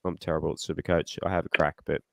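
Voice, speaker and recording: An Australian man hosting a casual fan podcast, rambling and excitable, his voice slightly croaky. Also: very deep voice, quick pace, no room sound. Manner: rambling, excitable, self-deprecating. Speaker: podcast host.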